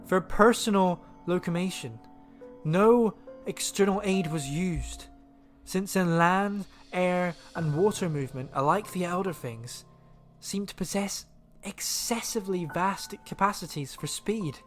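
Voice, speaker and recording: An actor playing a frightened yet fascinated expedition man in a 1930s-style radio horror drama, speaking in a dramatic, halting way. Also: high voice, faint music behind. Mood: solemn, fascinated.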